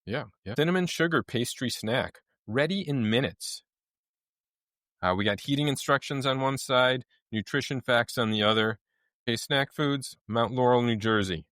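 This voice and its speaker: A man's voice: medium pitch, moderate pace, a bit bright, no room sound, studio quality. A man co-hosting a podcast, casually chatting and reading items off packaging with a wry manner. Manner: wry, reads items off lists. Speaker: podcast co-host.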